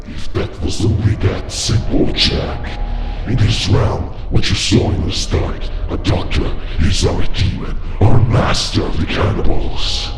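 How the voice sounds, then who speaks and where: A man playing a sinister vampire cult leader in a game roleplay, speaking in a slow, menacing, theatrical, breathy way. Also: lightly acted. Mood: smug, ominous. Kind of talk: amateur video game roleplay acting. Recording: echoey hall reverb with music.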